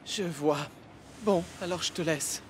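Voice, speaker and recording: An actor voicing an eager, kind-hearted young male steam locomotive in a French cartoon dub, with an earnest, excitable manner. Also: mid-range voice, a little slow, noisy background, lightly acted. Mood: disappointed.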